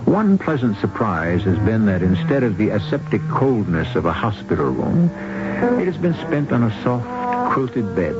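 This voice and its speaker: An actor as the host narrator of a radio mystery, a suave, deliberate storyteller with dramatic scripted delivery. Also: male voice, on the low side. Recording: muffled 1970s radio broadcast, loud music.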